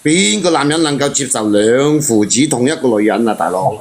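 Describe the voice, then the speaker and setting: A man's voice: medium pitch, lively intonation, moderate pace. A man with a Hong Kong Cantonese accent bluntly questioning and judging a caller in casual debate. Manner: blunt, judgmental. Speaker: panel member questioning a caller.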